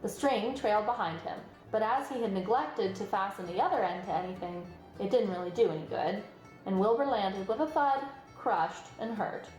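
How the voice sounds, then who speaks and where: A woman's voice: medium pitch, lively intonation, normal speed, a little mellow. A woman reading a children's story aloud as scripted narration. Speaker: storybook reader. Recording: roomy sound, music behind. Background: music.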